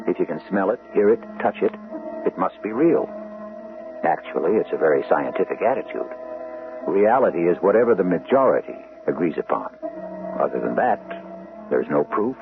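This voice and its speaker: A man with an American accent narrating a radio mystery drama in a deliberate, portentous way. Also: sits low, narrow, tinny audio. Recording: band-limited old radio broadcast, music underneath.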